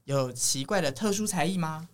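A podcast host speaks Taiwanese Mandarin at a middling pitch. The delivery is casual and upbeat, with a playful, teasing streak suited to a light chat show. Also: lively intonation, normal speed.

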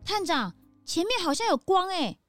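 A young animal sidekick in a children's radio play, very high and childlike. The delivery is excitable and swoops up and down, with a long reverb around it. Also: female voice, normal speed, soft background music, heavily acted. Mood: puzzled, curious. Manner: childlike, excitable, wide-eyed. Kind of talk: children's audio drama acting.

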